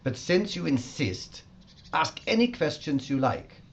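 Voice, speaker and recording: An actor playing a cryptic, haunted German soldier held prisoner in a war audio drama, ominous and dramatic. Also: male voice, a little high, sing-song, a little slow, creaky, a little hiss or hum, exaggerated voice. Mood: resigned. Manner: ominous, dramatic, swinging from hushed to intense.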